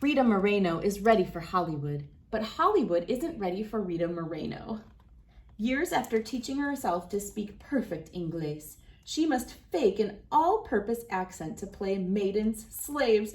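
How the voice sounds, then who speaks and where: A woman reading a children's story aloud as host, with dramatic, deliberate phrasing and clear emphasis. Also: medium pitch, a little mellow. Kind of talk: expressive picture-book read-aloud.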